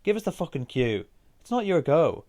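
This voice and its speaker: A man's voice: a little high, very animated, normal speed, darkish tone, pitched above the performer's own voice. A man voicing a brash, boastful young man out drinking in a short story, aggressive and insistent. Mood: impatient, aggressive. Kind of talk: short story character dialogue.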